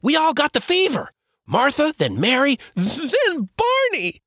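A man's voice: huge pitch swings, unhurried, bright, forceful tone, dry recording, studio quality, exaggerated voice. A man voicing a frightened young frontier boy who pleads through tears. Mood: tearful, frightened. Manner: high, pleading, breaking with tears.

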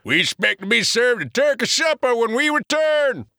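Burly boatman, slow and booming, put on by a man, dry close sound. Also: big pitch swings, bright, forceful tone, clear, resonant tone, dry recording, full character voice. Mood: hearty.

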